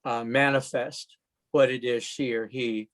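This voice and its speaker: A man co-hosts an interview over a video call. He asks measured, probing questions and pads them with fillers. His voice sits at a middling pitch. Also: level tone, a bit bright. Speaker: co-host. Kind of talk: conversational interview.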